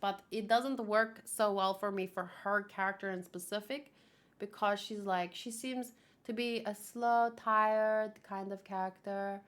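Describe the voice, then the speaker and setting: A woman's voice: mid-range voice, steady tone, a little slow, a bit bright. A woman whose first language is Afrikaans, chatting casually in a rambling, giggly way and often correcting herself as she hosts a manga read-along.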